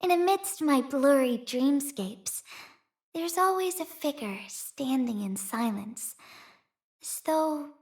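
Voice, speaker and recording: A woman voicing a cheerful, romantic young female courier in a video game, with a playful, airy and sweetly expressive manner. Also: high voice, takes it slowly. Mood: wistful. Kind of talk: game character voice lines.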